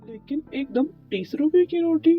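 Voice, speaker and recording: A man's voice: very high-pitched, animated, measured pace. A man playing a poor, worried, humble bread baker in an Urdu moral cartoon story, pleading and deferential. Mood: grateful, puzzled. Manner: pleading, deferential, talks to himself. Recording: muffled lo-fi track with music behind.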